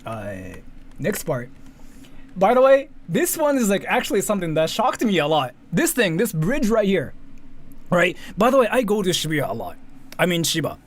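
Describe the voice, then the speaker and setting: A man's voice: high voice, sing-song, moderate pace. A man giving casual, rambling, sweary reaction commentary, often repeating himself. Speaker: commentary streamer. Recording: faint background noise.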